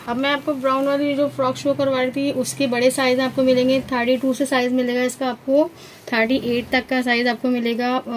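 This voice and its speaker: A woman's voice: fairly high pitch, poor audio. A woman with a Pakistani accent presenting stock in an informal sales style, repeating herself and mixing in English words. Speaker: shop presenter showing wholesale stock. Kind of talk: informal product showcase sales talk. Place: indoor garment shop packed with fabric. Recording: clear background noise, handheld phone sound.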